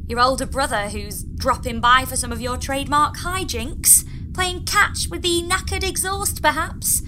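A woman's voice: high-pitched, measured pace, noisy background. A woman playing an exasperated astronaut trapped in space in a sci-fi audio comedy, dry, sarcastic and impatient.

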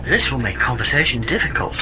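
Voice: mid-range voice, animated, brisk, noisy background, close to an everyday voice. An actor playing a chatty pod AI that lives in a woman's head, cheerfully wry and theatrical, in a sci-fi audio comedy. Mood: animated.

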